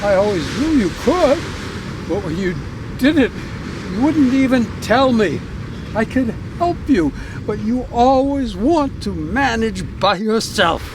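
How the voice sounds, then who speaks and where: A man's voice: very high voice, expressive, unhurried, noisy background. An actor playing a menacing, jealous wealthy man in an audio drama, with a taunting, theatrical delivery. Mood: agitated, accusing.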